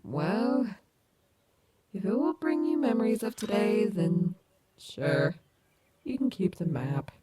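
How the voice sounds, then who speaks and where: A woman's voice: medium pitch, very animated, slow, pauses often, warm tone, a little hiss or hum. A woman playing a cocky but caring animatronic wolf rock star in an ASMR audio roleplay, teasing and affectionate. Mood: fond, bossy.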